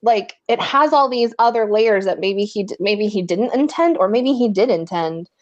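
A woman's voice: medium pitch, lively intonation, normal speed, highs cut off. A woman co-hosting a podcast, asking questions casually with many fillers and thinking aloud. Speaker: podcast co-host.

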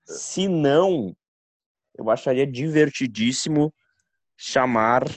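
A man chatting on a casual podcast with friends, blunt and quick to launch into a cursing rant. His voice sits at an ordinary middle pitch. The recording is dry and close, with no room sound. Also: expressive, no room sound. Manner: blunt, ranting, swears freely. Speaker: podcast co-host. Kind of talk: casual chat among friends.

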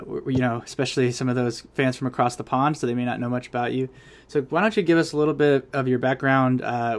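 A man co-hosting an interview, asking loose, rambling questions. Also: medium pitch. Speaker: podcast co-host. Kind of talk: casual interview.

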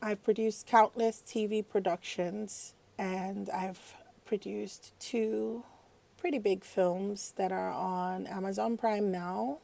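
A woman hosts a casual podcast. She talks in a chatty, playful way. Her voice sits at an ordinary middle pitch. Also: fairly even intonation, vocal fry, mellow. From Nigeria. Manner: chatty, playful, quick to laugh. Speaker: podcast co-host.

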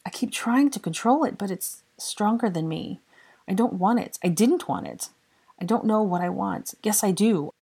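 A woman voicing a bright, bossy young woman in love, emotional, indignant and exasperated, in dramatic audiobook dialogue. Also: lowish voice, animated, a little fast, breathy edge, pitched above the performer's own voice. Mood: tearful, exasperated.

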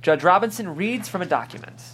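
A narrator reads a screenplay's stage directions aloud at a table read. The voice is of middling pitch, with scripted delivery of scene headings and action. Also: lively intonation, brisk, fairly bright tone, slightly rough.

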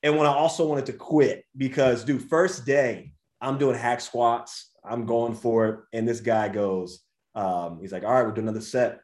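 Young american dude on a podcast, slangy and animated, kinda roomy echo. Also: male voice, mid-range voice, normal speed. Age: 20s. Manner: animated storyteller, slangy, says dude and bro. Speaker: guest, vegan coach. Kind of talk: casual podcast interview.

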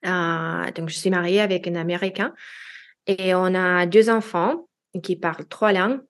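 A woman's voice: on the low side, steady tone, a little slow. A woman in her thirties, a native Russian speaker with a Russian accent, talking as a guest in a casual interview, hesitant and full of fillers and restarts. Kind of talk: casual interview chat in French.

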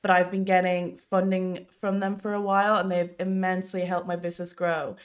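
A woman's voice: fairly low pitch, flat delivery, brisk. A woman in casual podcast conversation, explaining things informally and thinking aloud as she goes. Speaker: podcast speaker, business owner.

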